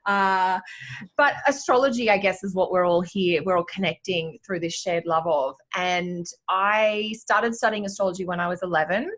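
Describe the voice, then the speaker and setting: A woman in her forties with a slightly softened Australian accent, chatting casually as an interview guest. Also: on the low side, moderate pace. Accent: Australian, slightly softened by years in Canada. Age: 40s. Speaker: guest, astrologer and teacher.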